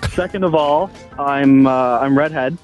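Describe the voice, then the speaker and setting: A man calling into a radio show, relaxed and shrugging off bad news. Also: mid-range voice, measured pace, soft background music. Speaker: caller. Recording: dull phone-in line.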